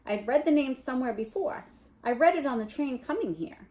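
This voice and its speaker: A woman reader voices an earnest schoolboy wizard from a storybook. He is loyal and eager, emphatic, with a lively, highish voice. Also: fairly quick, heavy background noise. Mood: excited discovery.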